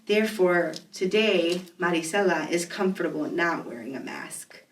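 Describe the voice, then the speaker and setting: A woman's voice: on the low side, lively intonation, moderate pace, slight background noise. A woman interviewing for an oral history project, encouraging, with short affirmations. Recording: echoey room, mic off at a distance.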